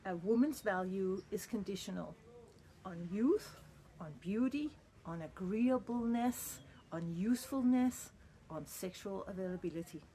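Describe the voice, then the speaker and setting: A woman's voice: medium pitch, animated, a little slow, dark tone. Earnest middle-aged woman reflecting. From Denmark. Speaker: vlogger. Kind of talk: personal reflective monologue.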